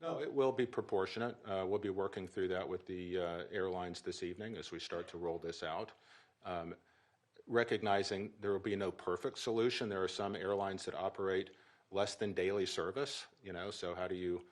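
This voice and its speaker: A middle-aged man with a notably deep voice addresses reporters at a press briefing. He speaks in a measured, official way, pausing and restarting as he explains. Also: fairly even intonation.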